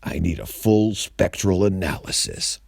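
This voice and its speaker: A man voicing a serious, thoughtful male detective in a children's mystery, speaking in a measured, pondering way. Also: sits low, vocal fry, breathy edge, no room sound, studio quality, exaggerated voice.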